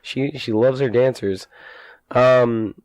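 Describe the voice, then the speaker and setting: A man co-hosting a casual gaming podcast, hoarse and stuffy from a cold, wry and self-mocking. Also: medium pitch, fairly even intonation, slow pace, a little mellow, rough recording. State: hoarse, losing his voice from a cold. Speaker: podcast co-host.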